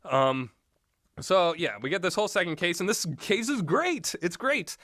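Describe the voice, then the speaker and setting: A man rambling casually through his opinions as a video game reviewer, animated and quick to act out imagined lines with exasperation. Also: sits high, dry recording, studio quality. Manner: animated, exasperated asides, acts out imagined quotes.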